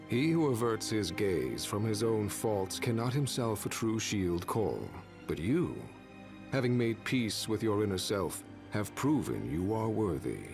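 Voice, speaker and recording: A man's voice: on the low side, heavily acted. An actor playing an ancient, stern male warrior guardian who speaks in solemn, archaic pronouncements. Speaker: video game character. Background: game score music.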